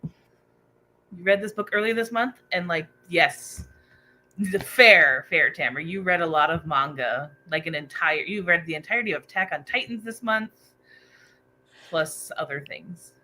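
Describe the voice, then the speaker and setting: Female livestream host, bright and animated, excitable book chatter, faint noise behind. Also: lowish voice, measured pace, rough recording. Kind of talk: casual livestream chat, reading out viewer comments.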